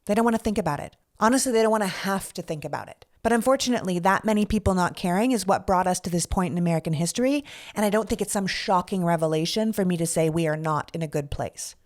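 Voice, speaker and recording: A woman's voice: on the low side, quick pace, hardly any pauses, a little mellow. Middle-aged woman from Canada, podcast host, earnest scripted monologue, blunt and a little profane. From Canada, Toronto. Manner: earnest, candid, with casual swearing and rhetorical questions.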